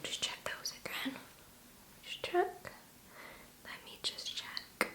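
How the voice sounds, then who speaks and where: A woman speaking in a soft, breathy whisper, gently reassuring and instructing the listener as a nurse in an ASMR medical exam roleplay. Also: slow pace. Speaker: ASMR creator playing a nurse.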